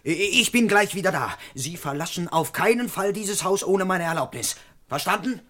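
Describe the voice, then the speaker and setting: An actor playing a suspicious British constable in a German radio crime drama, deadpan as narrator and brusque when questioning. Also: male voice, high-pitched, moderate pace, slight background noise. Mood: officious, suspicious. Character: officious, suspicious British police constable, male, narrating his case. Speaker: narrator and lead character.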